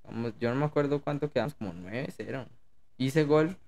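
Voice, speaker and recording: Hombre colombiano, charla informal y burlona. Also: male voice, mid-range voice, fairly quick, a little mellow. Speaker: podcast co-host. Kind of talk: casual chat.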